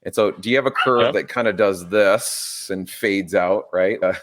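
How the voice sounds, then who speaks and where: A man's voice: fairly low pitch, normal speed, fairly bright tone. A man in his forties, a triathlete and biology teacher, talking casually in an interview and often interrupting himself mid-sentence. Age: 40s. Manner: rambling, self-interrupting, earnest.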